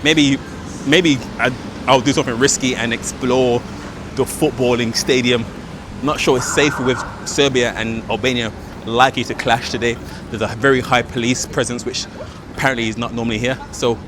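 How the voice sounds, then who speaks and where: A man's voice: medium pitch, normal speed. Chatty man vlogging outdoors. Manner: excitable, slangy, lots of fillers. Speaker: travel vlogger. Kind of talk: casual vlog to camera. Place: outdoors on city streets and in a park. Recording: outdoor city noise behind.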